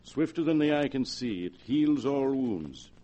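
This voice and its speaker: An actor playing a cautious male scientist professor in 1940s radio drama, speaking in a measured, formal, precise way. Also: medium pitch, very animated, mellow. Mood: cautious, reluctant.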